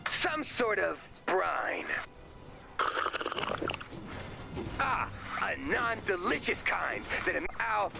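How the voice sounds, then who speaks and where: High, creaky, excitable male sidekick. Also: slow pace, heavily acted. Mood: panicked. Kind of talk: animated video game voice acting. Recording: loud music over the dialogue.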